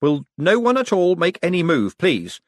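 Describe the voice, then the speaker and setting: A cheerful, wry man who soothes and coaxes with careful politeness, played by a male narrator. The pitch is raised and moves about in a lively way. It suits a quick-witted storybook hero. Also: no room sound, clean studio sound. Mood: politely firm. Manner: polite, coaxing, controlled. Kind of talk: audiobook character acting.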